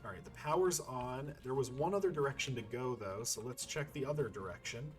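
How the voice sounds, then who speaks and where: Male let's-play host, medium pitch, loose and playful commentary, game music behind. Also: brisk. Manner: rambling, playful, profane, thinking aloud. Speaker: gaming YouTuber playing and commenting.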